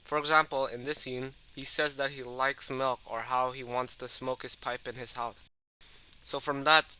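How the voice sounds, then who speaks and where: A man's voice: medium pitch, pushed and bright, clear, resonant tone, rough recording. A man narrating a scripted film analysis as a video essayist, addressing the viewer in a conversational way.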